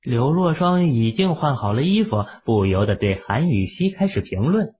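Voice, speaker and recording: A man narrating a serialized audio drama in an expressive storytelling manner. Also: medium pitch, moderate pace. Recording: tinny phone-like audio.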